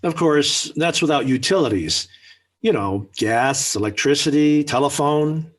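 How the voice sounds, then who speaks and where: Blustery American radio sitcom dad, husky. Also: male voice, a little high, animated, unhurried. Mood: needling. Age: middle-aged. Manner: pompous, mock-military speechifying, emphatic. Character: smug scheming family father, blustery and theatrical. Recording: old 1940s radio recording.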